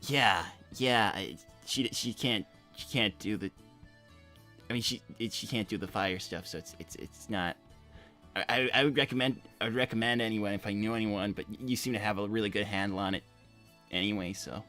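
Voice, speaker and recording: A man's voice: on the low side, sharp tone, soft background music. A man voicing an insecure, earnest young hedgehog who rambles, stammers and repeats himself in improvised livestream roleplay. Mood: awkward, chatty. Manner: halting, stammering, repeats words.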